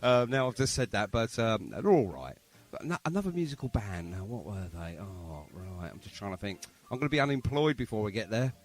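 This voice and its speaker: A man from London with a London accent hosts a podcast in a very animated voice that swoops around in pitch. He talks casually and jokily, rambling a little and throwing in bantering asides. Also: a little fast, few pauses, faint music behind. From London, England. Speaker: podcast host. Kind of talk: casual jokey interview chat.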